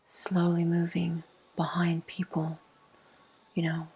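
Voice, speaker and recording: A woman telling a personal story as an ASMR host in a breathy, hushed, mostly whispered voice with slow pauses. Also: on the low side, completely flat delivery, rough recording.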